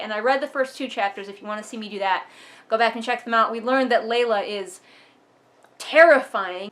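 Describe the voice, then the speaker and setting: A woman's voice: fairly high pitch, fairly bright tone. A woman hosting a book vlog, chatting casually to viewers and reading aloud with wry, sardonic asides. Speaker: book commentary vlogger and host.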